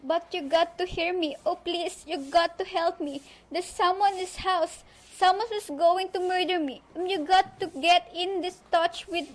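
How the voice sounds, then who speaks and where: A woman's voice: extremely high pitch, fairly even intonation, measured pace, a little hiss or hum. A woman playing a terrified, pleading wife in an amateur suspense radio play, panicked and repeating herself. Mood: desperate pleading.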